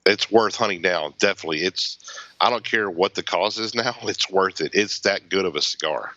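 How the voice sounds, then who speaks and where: Low-voiced emphatic man, bright tone. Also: moderate pace, no echo. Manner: emphatic, enthusiastic praise. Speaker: cigar podcast co-host. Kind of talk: casual panel chat. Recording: slightly dull lo-fi audio.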